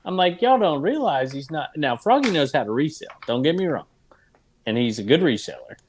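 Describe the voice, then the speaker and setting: Animated man chatting on a podcast. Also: a little high, a little hiss or hum. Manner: animated, laughing, quick back-and-forth. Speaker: podcast co-host. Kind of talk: casual chat.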